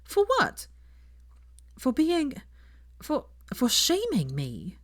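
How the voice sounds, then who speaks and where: A woman voicing an indignant, stubborn young woman in an audiobook, emphatic and halting when flustered. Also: high voice, wildly swooping pitch, pitched above the performer's own voice, exaggerated voice. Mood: indignant, wounded. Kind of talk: audiobook character dialogue.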